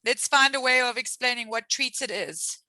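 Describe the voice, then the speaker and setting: Bright-voiced woman teaching English online. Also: fairly high pitch, normal speed, dry recording, low-quality recording. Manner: patient, encouraging, explains words with examples. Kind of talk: online English lesson with students.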